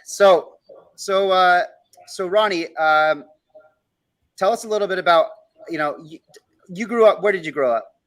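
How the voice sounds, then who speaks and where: A man's voice: high-pitched, a little slow, pauses often, fairly bright tone. A man hosting a show and interviewing a guest over a video call, who rambles and restarts his questions a lot. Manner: rambling, lots of fillers and restarts. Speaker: host. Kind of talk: casual interview talk.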